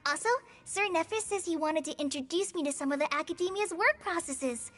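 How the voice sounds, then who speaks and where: Eager, very high-voiced girl. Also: fairly quick, fairly bright tone. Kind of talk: game voice acting.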